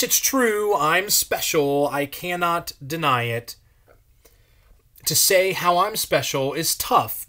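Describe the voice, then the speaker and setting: A proud, friendly tomato character from a children's book, put on by a man. He is earnest and emphatic, with a lively, raised pitch. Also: heavily acted.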